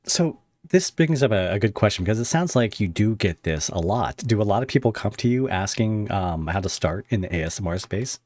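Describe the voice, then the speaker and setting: A man hosting a casual podcast interview, relaxed and encouraging as he asks questions. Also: on the low side, a little fast, few pauses, dry recording. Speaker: podcast host.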